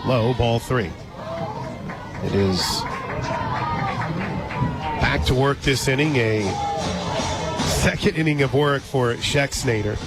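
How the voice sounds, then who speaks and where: A man doing live radio softball play-by-play, dropping conversational asides between pitches. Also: slow pace, pauses often. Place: radio booth at an outdoor ballpark. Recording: outdoor stadium crowd noise, loud music. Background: loud crowd and ballpark music.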